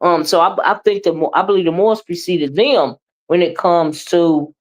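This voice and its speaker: A woman's voice: lowish voice, moderate pace. American woman talking emphatically on a livestream. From United States. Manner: emphatic and conversational, often ends phrases with a questioning tag. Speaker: livestream host. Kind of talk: casual livestream commentary, responding to chat.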